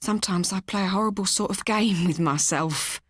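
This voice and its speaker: A woman voicing an anxious, fanciful wife in a small, hushed voice, in an audiobook story. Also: medium pitch, normal speed, breathy edge, no echo, clean studio sound, close to an everyday voice. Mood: earnest confessing. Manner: mournful, hushed, on edge.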